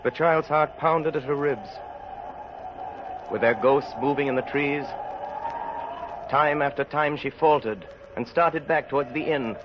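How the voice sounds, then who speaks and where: A man narrating a radio drama in a grave, deliberate, theatrical style. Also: a little high, background noise. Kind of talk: dramatic scripted narration, old-time radio. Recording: muffled old radio with music behind.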